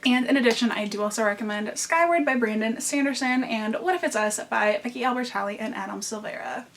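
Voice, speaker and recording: Nasal Midwestern woman gushing about books. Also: fairly high pitch. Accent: Midwestern American. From American Midwest. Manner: gushing, emphatic repeats. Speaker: book vlogger. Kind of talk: enthusiastic book recommendations.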